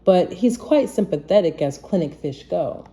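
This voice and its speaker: A woman reading a rhyming children's storybook aloud in an expressive, measured manner. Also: lowish voice. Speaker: children's book reader.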